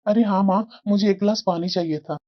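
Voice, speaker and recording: A performer voicing a devoted adult son and stern husband in a family cartoon, with plain, drawn-out delivery. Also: mid-range voice, fairly even intonation, lo-fi sound, lightly acted. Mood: affectionate, casual. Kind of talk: Hindi family moral-story cartoon voice acting.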